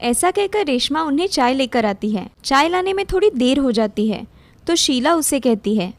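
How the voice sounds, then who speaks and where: High-pitched woman narrating a story. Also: big pitch swings, moderate pace, vocal fry, no room sound. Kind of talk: scripted moral story narration.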